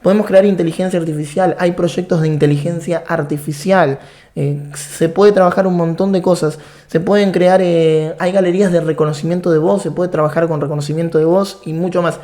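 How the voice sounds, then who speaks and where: A man's voice: a little high, warm tone. Argentine man teaching a coding class. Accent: Argentine Spanish. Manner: friendly, explanatory, with frequent "eh" fillers. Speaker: programming course instructor. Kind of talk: online course lecture.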